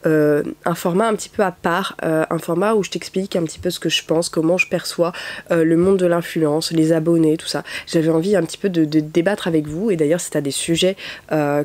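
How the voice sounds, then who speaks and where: A woman's voice: low voice, darkish tone. A young woman with a cold, speaking a bit through her nose, chatting to her viewers as a YouTuber with lots of fillers. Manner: chatty, many "euh" fillers. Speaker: YouTuber, content creator. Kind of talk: casual talk to camera. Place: at home, window open, rain outside.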